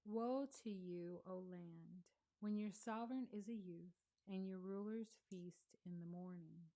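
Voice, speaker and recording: Woman reading scripture aloud, low mellow voice, slow and careful. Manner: measured, deliberate reading with pauses. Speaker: scripture reader. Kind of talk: slow read-along of scripture.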